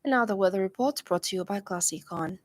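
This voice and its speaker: A woman's voice: mid-range voice, fast, music in the background. A woman with a Zambian accent reading a scripted radio news bulletin as presenter.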